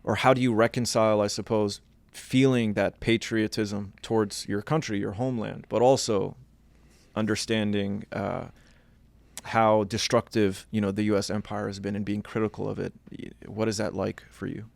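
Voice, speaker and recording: A man from Iraq, hosting a podcast, builds up to his questions in a measured, earnest way. His voice is low and gravelly, with little rise and fall. The sound is dry and close to the mic. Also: level tone, dry recording. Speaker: podcast host. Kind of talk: interview questions.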